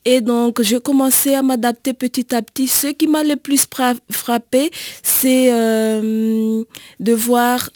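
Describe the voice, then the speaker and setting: A woman with a Congolese accent, an interview guest explaining her experience in a measured way with hesitant pauses. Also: a little high, fairly even intonation, darkish tone, no echo, studio quality. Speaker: nun and volunteer, interview guest.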